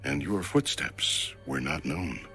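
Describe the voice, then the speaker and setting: A man's voice: rumbling low, lively intonation, takes it slowly, sharp tone, faint music behind. A man giving a solemn, reverent, declamatory reading of scripture as an audio Bible narrator.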